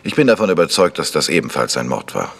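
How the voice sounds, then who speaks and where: Ein Schauspieler, der in einem Krimihörspiel einen selbstsicheren, bohrend fragenden Amateurdetektiv spielt. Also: male voice, a bass voice, big pitch swings, quick pace, vocal fry, a bit bright, breathy edge, big-room sound, heavily acted. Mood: confident. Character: probing, self-assured male amateur detective. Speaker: amateur detective. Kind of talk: vintage German radio crime drama acting.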